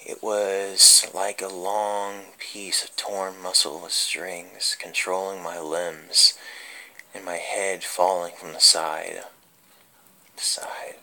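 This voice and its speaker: A man's voice: sits low, flat delivery, takes it slowly, gravelly, bright, forceful tone, full character voice, low-quality recording. A man playing a melancholy, tormented dead puppeteer in a creepy horror story reading, with brooding theatrical delivery. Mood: anguished. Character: creepy undead puppeteer, melancholy tormented young man's ghost. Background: music.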